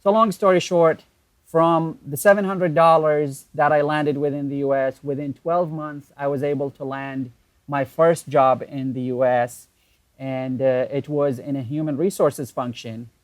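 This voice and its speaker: A man's voice: a little high, no room sound. Man with an Iraqi Arabic accent, dry sound. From Iraq. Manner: relaxed, laughs easily, halting with fillers. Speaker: guest. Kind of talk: casual interview storytelling. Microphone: video call.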